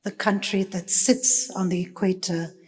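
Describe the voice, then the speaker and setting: A woman from Fiji giving a thoughtful conference talk, with many pauses and fillers. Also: medium pitch, fairly even intonation, darkish tone, slightly rough. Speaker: panel speaker. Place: conference hall. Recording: reverberant conference hall.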